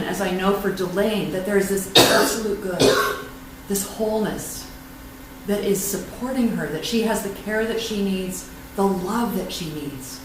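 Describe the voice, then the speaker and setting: A woman leading a church invocation and readings in a prayerful, unhurried, affirming way. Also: mid-range voice. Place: church sanctuary. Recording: echoey room, far from mic, noisy.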